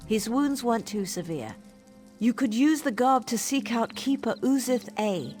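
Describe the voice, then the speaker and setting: An actress playing a businesslike female scout in a fantasy game, calm, formal and measured. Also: on the high side, faint music behind. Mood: calm, resolute. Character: prudent, businesslike female military scout giving orders. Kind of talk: fantasy video game dialogue.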